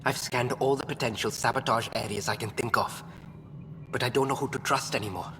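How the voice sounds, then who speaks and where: Game role: male actor as wary, anxious technician, mid pitch, halting delivery, long reverb. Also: close to an everyday voice. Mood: anxious, guarded. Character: wary male station technician, paranoid about sabotage. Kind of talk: video game character dialogue. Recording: reverberant hall with noisy ambience.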